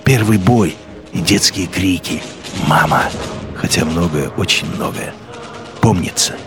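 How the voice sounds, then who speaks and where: A man narrating a radio drama in an intense, brooding literary style, with wide swings between low murmurs and sharp lifts. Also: measured pace, music in the background.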